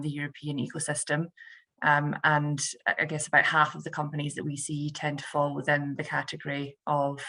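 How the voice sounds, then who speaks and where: Low, gravelly woman investor. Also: pushed and bright, low-quality recording. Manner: measured, thinking aloud with many fillers. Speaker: venture investor on a panel. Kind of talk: panel discussion answer.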